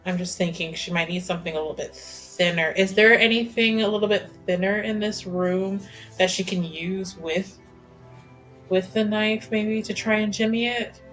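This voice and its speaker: A woman's voice: medium pitch, steady tone, normal speed, a bit bright, faint music behind. A woman playing a tabletop roleplaying game, chatting casually and playfully as she thinks aloud about her moves.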